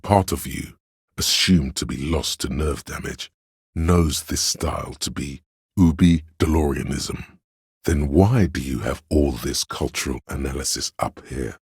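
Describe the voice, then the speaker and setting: An actor playing the artistic inner voice of a detective's mind in a video game, lofty, critical and theatrical, narrating with deliberate, pretentious weight. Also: male voice, no room sound, studio quality, full character voice. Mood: solemn, lofty. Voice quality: very deep gravelly husky bass.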